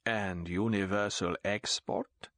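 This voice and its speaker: A man voicing a sinister middle-aged count with a silky, slow and deliberate way of talking. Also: low-pitched, steady tone, a bit bright, no echo. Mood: menacing.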